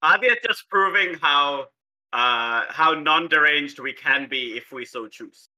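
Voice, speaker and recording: Grandiose, playful player from South India, very bright tone, dry close mic. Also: medium pitch, lively intonation, unhurried, no room sound, poor audio. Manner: theatrical, playful, grandiose phrasing. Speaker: game designer and player. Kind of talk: casual podcast chat.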